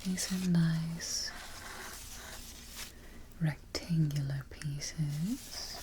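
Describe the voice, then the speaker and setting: A woman playing a slow, whispering evil queen. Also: deep voice, steady tone, background noise. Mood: calm, particular. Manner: slow, hushed, deliberate, with musing pauses. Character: fussy, temperamental evil queen, calm and quietly menacing. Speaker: ASMR roleplay performer. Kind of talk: soft-spoken whispered ASMR roleplay.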